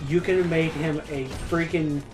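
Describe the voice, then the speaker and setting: Man, fairly high voice, exasperated nitpicking, casual gaming co-commentary, clear game music behind. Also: level tone, unhurried. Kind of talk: casual let's play chat. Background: video game music.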